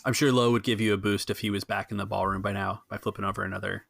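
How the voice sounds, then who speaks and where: Low, creaky male game master. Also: level tone, a bit bright, dry recording. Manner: halting, informal. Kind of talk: improvised tabletop game narration.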